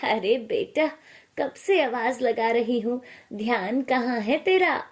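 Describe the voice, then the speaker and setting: A woman's voice: on the high side, lively intonation, slow. A woman voicing a kindly middle-aged mother-in-law in a story, patient and reassuring. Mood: brisk. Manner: patient, instructing. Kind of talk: acted storytelling dialogue.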